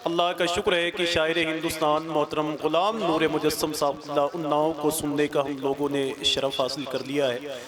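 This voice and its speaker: Man, fairly high voice, compère at a religious gathering, chants couplets loud and drawn out, coaxing asides to the crowd, stage mic. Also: flat delivery, normal speed. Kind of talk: compèring with recited devotional couplets.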